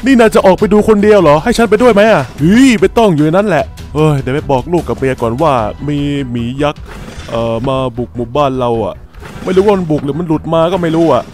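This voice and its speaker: A man with a high voice performs improvised game roleplay. He talks colloquially and exclaims often, with music running behind him. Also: lively intonation, fast, a little mellow. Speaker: creator voicing characters in a Minecraft roleplay video.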